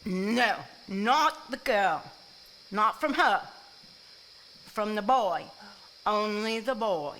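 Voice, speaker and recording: A woman's voice: medium pitch, expressive, very slow, pauses often, a bit bright. An actress playing a folksy, sly and guarded country woman in a live stage drama, with a Southern US accent. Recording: live stage recording, hiss and night insects.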